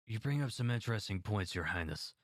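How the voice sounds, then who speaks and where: A man's voice: fairly low pitch, expressive, brisk, no echo, studio quality, close to an everyday voice. A man playing a dutiful royal guard in an audio drama, speaking formally and deferentially. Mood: dutiful, apologetic.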